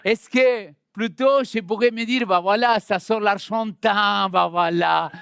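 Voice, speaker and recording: A man's voice: high-pitched, a little slow, gravelly, fairly bright tone, smooth, no echo. A man from Argentina with a light Argentine accent, preaching a sermon and talking directly to his congregation in a friendly, conversational way. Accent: Argentine Spanish. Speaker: pastor. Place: church hall.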